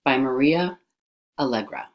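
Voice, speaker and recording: A woman with a low-pitched voice reads an informational passage aloud. She follows a script in the manner of an educational narrator. Her words are carefully and deliberately pronounced. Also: animated. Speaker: reader of an educational text.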